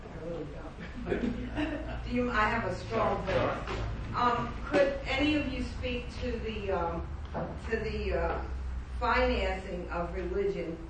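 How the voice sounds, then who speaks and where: A woman's voice: medium pitch, slow pace, noisy background. A woman from the audience asking the panel a hesitant question with many fillers. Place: large echoey hall, far from the mic. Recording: echoey hall from far off.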